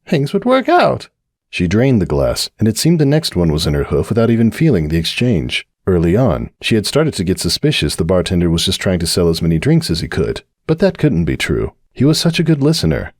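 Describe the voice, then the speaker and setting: A man with a lowish voice reads a dramatic story aloud, his pitch moving widely and expressively. The sound is close and dry, with no trace of room. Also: big pitch swings, a little fast, hardly any pauses, dry recording. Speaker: narrator. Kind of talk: dramatic audiobook reading.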